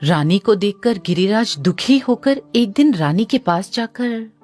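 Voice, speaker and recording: A woman narrating a cartoon story in a lively storybook tone. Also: medium pitch, a little slow, soft background music.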